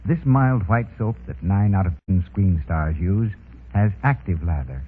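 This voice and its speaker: A man's voice: deep voice, lively intonation, unhurried, husky. A man reading a scripted sponsor advertisement as a 1930s radio announcer, with practiced sales patter. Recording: tinny phone-like 1930s radio recording.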